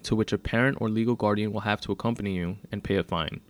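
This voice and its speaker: A man's voice: fairly low pitch, fairly even intonation, quick pace, lightly acted. A man voicing a polite, by-the-book male police officer with formal, procedural speech in a narrated story. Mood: firm, formal.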